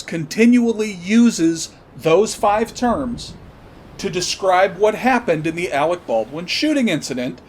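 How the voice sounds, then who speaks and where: A man delivering an opinionated commentary monologue, emphatically spelling out and repeating his points. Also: sits high. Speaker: podcast host commentator.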